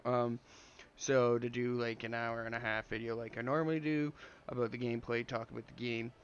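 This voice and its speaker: A man with a slight cold giving relaxed, rambling gameplay commentary over a strategy game, full of ums and uhs. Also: medium pitch, brisk, slight background noise. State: has a slight cold, sniffling. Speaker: gameplay video creator. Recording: dull lo-fi audio.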